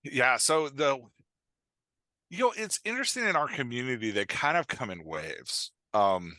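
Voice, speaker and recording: A man's voice: mid-range voice, big pitch swings, moderate pace, pushed and bright, dry recording, studio quality. A man speaking as a podcast guest and writing coach, explaining things in an informal, rambling, conversational way and sometimes acting out imagined reactions. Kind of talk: casual explanatory interview talk.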